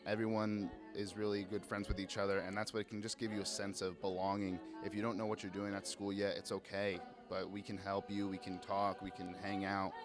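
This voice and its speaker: A man's voice: on the low side, level tone, brisk, hardly any pauses. A young man from a student a cappella group, speaking in an earnest, encouraging way. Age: young adult. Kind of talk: interview. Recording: music playing behind.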